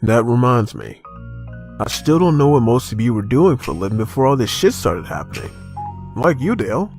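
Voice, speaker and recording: An actor playing a steady, friendly small-town cop among survivors in a comic dub. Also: male voice, medium pitch, moderate pace. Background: background music.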